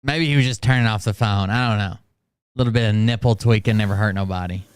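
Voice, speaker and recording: A man's voice: fairly low pitch, fast talker, a little hiss or hum. A man commenting sarcastically on livestream clips, mocking and swearing freely. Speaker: commentary host. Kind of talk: reaction commentary.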